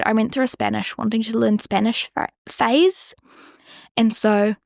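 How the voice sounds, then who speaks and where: A woman's voice: mid-range voice, gravelly, no room sound, studio quality. A young woman with a New Zealand accent, hosting a podcast in a chatty, rambling way full of "like". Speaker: podcast host. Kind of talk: casual solo podcast chat.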